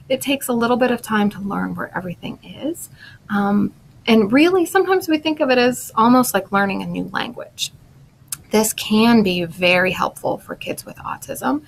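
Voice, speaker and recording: Creaky-voiced woman explaining things to children. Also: fairly high pitch, fairly bright tone, slight background noise, poor audio. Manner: simple, instructional wording aimed at young listeners. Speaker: speech language pathologist presenting and reading a children's book. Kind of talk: explaining to children, with picture book read-aloud.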